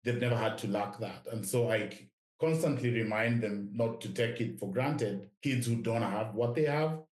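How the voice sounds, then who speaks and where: A man's voice: on the low side, moderate pace, a little mellow. A middle-aged man with a Kenyan accent, talking reflectively as an interview guest. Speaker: podcast guest, investment director. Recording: roomy, echoing sound.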